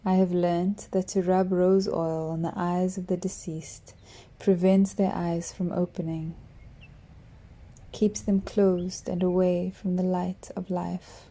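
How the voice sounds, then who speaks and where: A woman narrating a poetic piece slowly and softly, sometimes dropping to a whisper. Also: lowish voice, flat delivery. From South Africa. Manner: soft, hushed, drifting into whispers.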